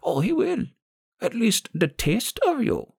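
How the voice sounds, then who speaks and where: A bitter, vengeful old woman, as a male narrator puts her on, speaks in a high, gravelly voice with menacing scorn. Her delivery is deliberate and swooping, like a storybook villain in a dark audiobook. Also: wildly swooping pitch, heavily acted. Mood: gloating menace. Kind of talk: audiobook character acting.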